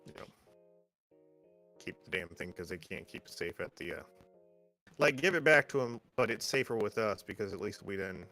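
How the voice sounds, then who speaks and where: A man's voice: fairly low pitch, moderate pace, long pauses, pushed and bright, soft background music. A man playing a tabletop roleplaying game, talking casually in character, blunt and sweary as he argues out plans. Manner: blunt, profane. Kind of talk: casual in-character banter and planning.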